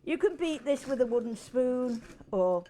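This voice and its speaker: Slow-spoken older Yorkshire woman. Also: high voice, lively intonation, mellow, a little hiss or hum. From England. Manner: chatty, rambling asides. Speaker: cooking show host. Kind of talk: casual cooking demonstration.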